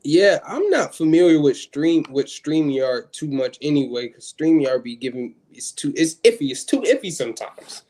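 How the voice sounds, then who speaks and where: A man's voice: fairly high pitch, sing-song, moderate pace, hardly any pauses, mellow. A man in his twenties chatting casually on a livestream in a laid-back way, full of slang. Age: 20s.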